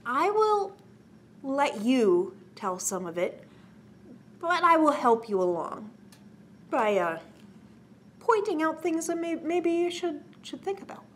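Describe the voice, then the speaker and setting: A woman's voice: high-pitched, sing-song, takes it slowly, pauses often. A woman in her forties hosting a children's story time, talking to young listeners with exaggerated, prompting questions. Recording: clear background noise.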